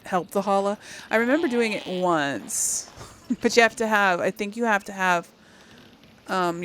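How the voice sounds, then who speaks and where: A woman's voice: lowish voice, gravelly, a little hiss or hum. A woman doing a casual let's play, thinking aloud and reading game dialogue options as she role-plays. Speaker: gaming channel host role-playing a video game.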